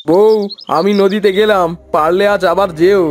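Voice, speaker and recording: Casting: male actor as stubborn, cheerful cartoon villager husband, very high-pitched, pleading with his wife, excitable. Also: normal speed, slight background noise, natural voice. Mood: contented. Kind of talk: exaggerated Bengali cartoon voice acting.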